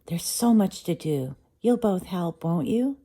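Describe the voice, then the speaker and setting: Woman playing a young storybook mermaid princess, dreamy, excitable, coaxing, lifted pitch. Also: a little slow. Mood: eager, coaxing. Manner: gushing, coaxing.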